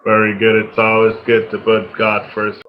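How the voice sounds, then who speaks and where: A man's voice: on the low side, monotone, normal speed, narrow, tinny audio. A man hosting an interview, asking questions in a polite style. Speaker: interviewer, host.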